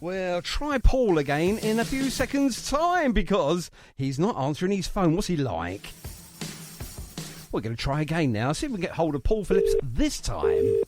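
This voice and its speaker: A man's voice: high-pitched, very animated, normal speed. A British man hosting a live radio show with chirpy, teasing, jokey banter. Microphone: studio radio microphone. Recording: music behind the voice. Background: music bed.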